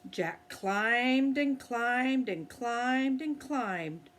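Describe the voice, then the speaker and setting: Slow, gentle children's storyteller woman. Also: a little high, animated. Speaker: early-years storyteller.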